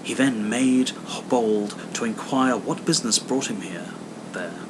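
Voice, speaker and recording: Male audiobook narrator, mid-pitched, scripted storytelling, clearly noisy home recording with rumble. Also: unhurried. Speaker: audiobook reader.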